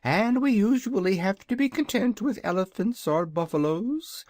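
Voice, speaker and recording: Reader putting on a squeaky proud baby dragon, drawly and snooty, super bouncy, dry close mic. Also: animated, normal speed, a little mellow, dry recording, natural voice. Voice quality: high, drawling and haughty. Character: proud young dragon, polite yet hungry. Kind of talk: audiobook character voice.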